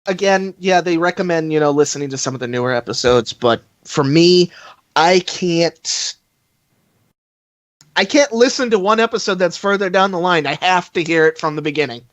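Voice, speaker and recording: A man's voice: a little high, lively intonation, moderate pace, a bit bright, no room sound, muffled recording. A man giving a casual podcast review over an internet call, chatty and rambling as he recommends things with enthusiasm. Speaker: podcast panelist reviewing a fan audio drama.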